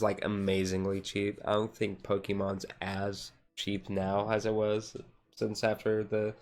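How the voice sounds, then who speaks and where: A man's voice: lowish voice, vocal fry. A man chatting casually on a chill livestream, rambling along with lots of "like" and "and stuff". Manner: rambling, hedging with repeated "like" and "and stuff". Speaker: streamer. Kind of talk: relaxed ASMR chill stream.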